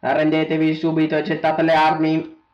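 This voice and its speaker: A menacing battle droid commander, played by a man in a homemade stop-motion film. The orders come clipped and monotone at a fairly high pitch, in an echoey, noisy recording. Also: normal speed, muffled recording, natural voice. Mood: cold, commanding. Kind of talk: amateur stop-motion fan dub. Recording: echoey home recording with clicking noise.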